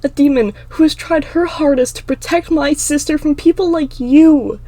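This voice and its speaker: A woman voicing an anguished, defiant teenage demon girl in a fantasy story, pleading in impassioned shouts. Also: high-pitched, moderate pace, dry recording, pitched above the performer's own voice, natural voice. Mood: furious, accusing. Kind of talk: dramatic fantasy audiobook acting.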